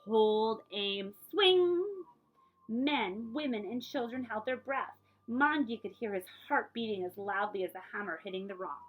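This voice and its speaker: A woman librarian reading aloud for children in an expressive storytelling way, speaking directly to her young listeners. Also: fairly high pitch, unhurried, narrow, tinny audio.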